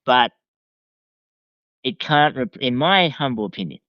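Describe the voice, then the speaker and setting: A man's voice: mid-range voice, animated, a little slow, dry recording, dull audio. A man reviewing as a podcast guest in a casual, hesitant way, often restarting his phrases.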